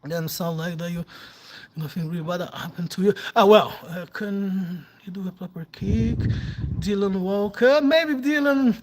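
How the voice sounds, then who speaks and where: A man's voice: high voice, measured pace, a little hiss or hum. A man in his forties from Brazil with a Brazilian Portuguese accent, chatting casually on a livestream, telling stories and answering viewer comments, recorded on a phone set on the floor of a large warehouse. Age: 40s. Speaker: vlogger. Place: large open warehouse, indoors. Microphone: phone camera set on the floor.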